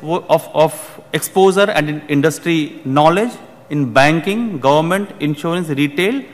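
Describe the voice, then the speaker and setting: A man in his thirties giving a prepared conference keynote from a podium, speaking formally and often repeating words as he restarts phrases. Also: high voice. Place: large conference hall, at a podium. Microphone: podium microphone. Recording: long hall reverb.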